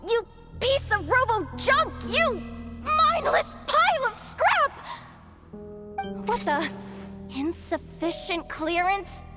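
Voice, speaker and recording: Scrappy young hacker gal, game character, high voice, unhurried, talks to herself all dramatic, music behind. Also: female voice, sing-song, full character voice. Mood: exasperated, indignant. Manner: exasperated, theatrical muttering and self pep talk. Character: feisty young woman hacker, scrappy, self-reliant, talking to herself. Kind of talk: animated video game voice acting.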